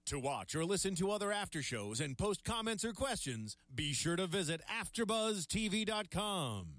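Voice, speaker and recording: A man's voice: high-pitched, big pitch swings, moderate pace, a bit bright, no room sound. A man reading a scripted network outro as an announcer.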